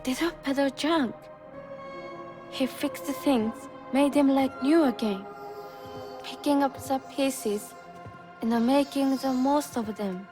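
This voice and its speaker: A woman playing a tender, sincere young woman in a cinematic video game, speaking softly and earnestly. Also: sits high, slow, pauses often. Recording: music behind the voice.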